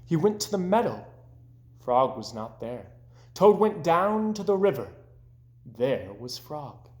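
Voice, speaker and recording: A man reading a children's story aloud as narrator, expressive, gentle and deliberate. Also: fairly high pitch. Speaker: storybook reader.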